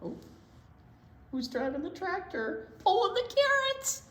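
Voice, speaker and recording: A woman hosting a children's storytime, reading aloud expressively with drawn-out words and asides to her young listeners. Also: very high voice, big pitch swings, fairly bright tone. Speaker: storytime host, teacher. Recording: roomy echo, clear background noise.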